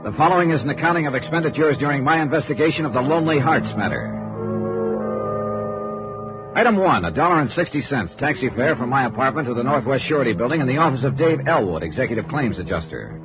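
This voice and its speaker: A man's voice: mid-range voice, animated, quick pace, music in the background, close to an everyday voice. A man playing a wry, wisecracking American insurance investigator in 1950s radio noir acting and narration. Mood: brisk narration. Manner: dry, wisecracking. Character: wry, brisk male freelance insurance investigator, narrating his case. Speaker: radio drama lead and narrator. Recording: dull vintage 1950s radio recording.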